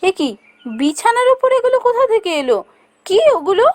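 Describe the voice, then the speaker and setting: A woman voicing a practical, fretful village mother in a cartoon, with an exclamatory, expressive delivery. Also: extremely high pitch, a little fast. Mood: puzzled. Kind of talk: Bengali fairy-tale cartoon dialogue.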